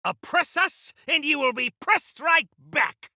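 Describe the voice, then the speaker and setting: An actor playing a grandiose, eccentric exiled miner rebel in a video game, speaking in florid, archaic, theatrical phrases. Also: male voice, very high-pitched, lively intonation, slow, pushed and bright, no echo, studio quality, exaggerated voice. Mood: furious, defiant.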